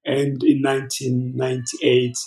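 Hesitant man, gravelly and roomy. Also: medium pitch, completely flat delivery, heavy background noise. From Ethiopia. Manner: halting, many fillers and restarts. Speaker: pastor guest. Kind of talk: conversational interview answers. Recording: roomy echo.

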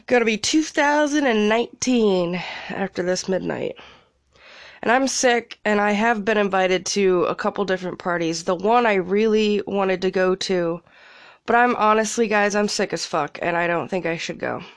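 A woman's voice: medium pitch, normal speed, dry recording, clean studio sound. A young woman with a congested cold, rambling casually as a podcast host from a small closet, laughing and swearing freely. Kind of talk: casual solo chat.

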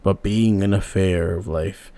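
A man with a gravelly voice voicing a venerable old man who speaks slowly and solemnly. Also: low-pitched, fairly even intonation, warm tone. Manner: slow, solemn, measured.

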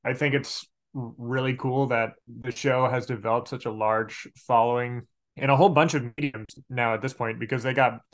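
A man's voice: mid-range voice, fairly even intonation, moderate pace, fairly bright tone. An American man from Arkansas chatting casually as a podcast guest, explaining things and correcting himself as he goes. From Arkansas, United States. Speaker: podcast guest, game master and outdoor educator. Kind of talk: casual interview chat.

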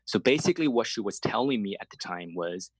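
A man's voice: lowish voice, animated, fairly quick, slightly rough, no room sound, poor audio. A man from China, a native Chinese speaker, giving earnest, reflective interview answers as a journalist and explaining his points conversationally.

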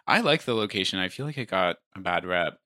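A man's voice: sits low, lively intonation, a little fast, sharp tone, no echo, clean studio sound. A man in his twenties, a stand-up comedian, chatting casually and rambling with lots of filler words. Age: 20s. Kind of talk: casual conversation.

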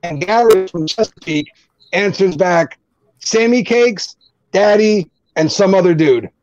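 A man's voice: high-pitched, lively intonation, a little mellow, no echo, highs cut off. A man with a New Jersey accent, chatting casually and joking as a co-host of a group video stream. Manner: joking, laughs often, quick back-and-forth.

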